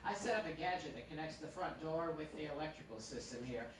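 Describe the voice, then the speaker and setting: A man's voice: fairly high pitch, lively intonation, fast talker, close to an everyday voice. Distant noisy hall, man acting older gent, kindly, dithering, courtly, old radio play. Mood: proud, chuckling. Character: genial, fussy older male interloper, kindly and proud.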